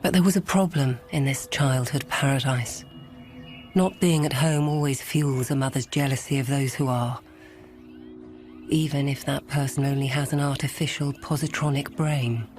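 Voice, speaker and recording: A reflective adult narrator recalls childhood in a radio play. The delivery is scripted storytelling, with music faintly behind the voice. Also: female voice, extremely low pitch, fairly even intonation, moderate pace, a little mellow. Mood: wry, reflective.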